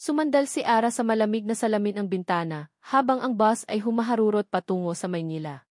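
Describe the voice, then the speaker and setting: A woman reading a romantic drama aloud as its narrator, earnest and measured. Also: mid-range voice, lively intonation, darkish tone, no room sound, clean studio sound. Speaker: storyteller.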